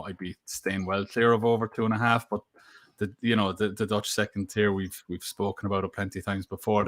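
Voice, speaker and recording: A man with a slightly low voice talks through his bets on a live stream. He hedges and restarts as he thinks aloud, in a relaxed, casual way. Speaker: co-host and betting tipster.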